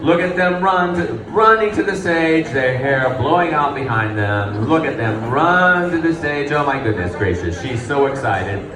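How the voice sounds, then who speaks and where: A man playing a gushing, folksy, excitable quiz show host in the style of 1930s radio. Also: a little high, measured pace, few pauses. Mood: excited. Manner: gushing, repeats himself. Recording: roomy hall, distant, live crowd.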